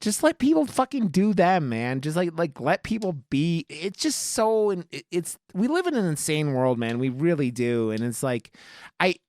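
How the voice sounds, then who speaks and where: Clear-voiced man rambling through casual podcast chat. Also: a little high, big pitch swings, moderate pace, a little mellow, no echo, clean studio sound. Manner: rambling, heavily swearing, emphatic. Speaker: podcast co-host. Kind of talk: casual film review chat.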